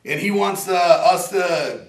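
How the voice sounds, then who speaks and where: A man in his forties with a raspy voice, an inventor talking in a casual, rambling and earnest way, sometimes joking about himself. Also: high-pitched, slow pace. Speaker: inventor and veteran interviewee. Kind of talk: casual interview talk. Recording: roomy echo, from a distance.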